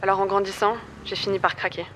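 A woman plays a young female rebel courier in an audio drama. She has a mid-pitched voice and a plain-spoken, self-assured, slightly gruff manner, with faint noise around her. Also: little pitch movement, fast talker, a bit bright, lightly acted. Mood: bitter.

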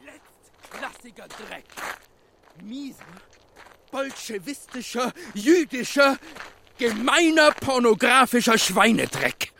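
An actor in a German radio drama playing a pompous, furious Nazi author who rants, threatens and talks of himself in the third person. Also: male voice, extremely high pitch, very animated, slow pace, vocal fry, noisy background, full character voice. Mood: furious, disgusted.

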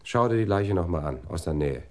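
An actor playing a laconic, dry-humoured police detective with a terse, businesslike delivery in a radio play. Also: male voice, low voice, animated, brisk, darkish tone.

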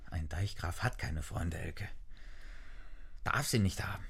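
An actor in a German radio play as a stern, driven dike master and duty-bound husband, with a gravelly, hushed voice. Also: male voice, low voice, animated, a little slow, heavily acted. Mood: grim, hushed.

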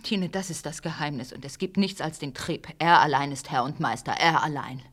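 An actress playing a brooding, intense, bookish mother in a literary radio play, hushed at times and insistent at others. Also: deep voice, normal speed. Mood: hushed, fatalistic.

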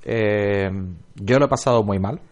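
A man's voice: on the low side, moderate pace, a little mellow. A man, a film director answering radio interview questions in an earnest, emphatic way. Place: radio studio.

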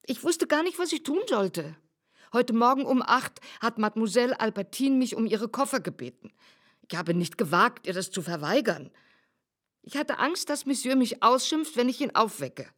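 A woman's voice: a little high, a bit bright. An actress playing a devoted, anxious housekeeper in a radio drama, earnestly explaining herself with deference to her master.

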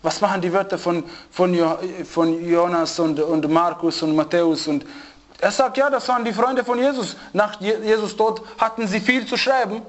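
A man's voice: high-pitched, normal speed. A man preaching to a small group in an informal sermon, telling an anecdote and mixing in Arabic phrases. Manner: storytelling, lively, mixes in Arabic phrases. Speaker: preacher giving a religious lesson. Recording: dull lo-fi recording.

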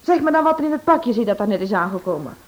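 A woman's voice: fairly high pitch, expressive, fast, a little mellow, lightly acted. A woman playing a nosy, pouting, playful wife in a radio drama, with a melodramatic manner. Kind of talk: Dutch-language radio drama acting. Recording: dull old recording with clear noise.

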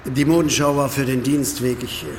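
An actor playing a stubborn, plain-spoken building worker in a radio play, curt and matter-of-fact, with music behind him. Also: male voice, mid-range voice, fairly even intonation, a little fast, noisy background, natural voice. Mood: curt, determined.

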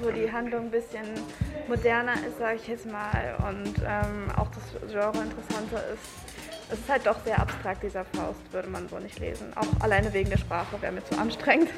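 Even-toned young woman student over music. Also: fairly high pitch. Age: young adult. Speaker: high school student. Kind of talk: casual interview chat.

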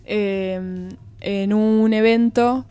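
A woman's voice: medium pitch, fairly even intonation, extremely slow, warm tone, clear, resonant tone, no echo. A woman with an Argentine accent hosting a casual radio chat in a reflective, giggly manner. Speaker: radio show host.

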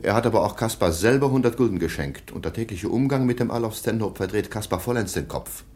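Man as a low-voiced, sardonic baron. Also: quick pace, darkish tone, lightly acted. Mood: sceptical, ironic. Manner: dry, formal, sardonic. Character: stern, sceptical middle-aged German baron, sharply ironic. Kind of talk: German radio play acting.

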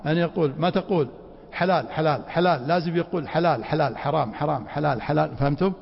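A man teaching a class on Islamic law in a mosque, quizzing students with quick rhetorical prompts. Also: on the high side, gravelly. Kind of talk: classroom lecture with questions to students. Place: mosque study circle. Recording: dull lo-fi recording in a reverberant hall.

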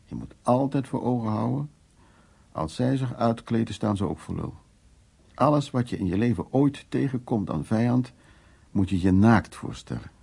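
A husky, gravelly old storyteller. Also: male voice, mid-range voice, animated, mellow. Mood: wry reminiscing. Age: 70s or older. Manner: unhurried, fragmentary, reminiscing storytelling. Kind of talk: naturalistic radio drama monologue and dialogue.

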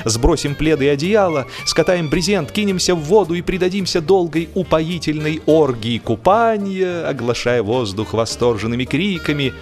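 A man narrating a humorous story as a scripted reading, theatrical in delivery, with drawn-out words and emphatic swings. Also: medium pitch. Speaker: audiobook narrator. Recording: music behind the voice. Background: music.

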